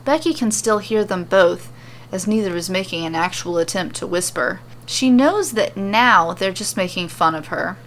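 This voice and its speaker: A woman's voice: fairly low pitch, expressive, normal speed, a bit bright. A woman storyteller reading scripted fantasy narration, with ambient sound effects behind her. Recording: faint background noise and ambience.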